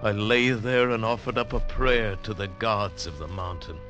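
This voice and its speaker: An actor playing a mountaineer who recounts his ordeal in dramatic, deliberate phrases, in the style of mid-century radio drama. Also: fairly low pitch, fairly even intonation, fairly bright tone, lightly acted. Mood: subdued, shaken. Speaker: narrator and lead actor. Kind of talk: 1940s-50s radio drama narration. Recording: vintage radio recording with music behind.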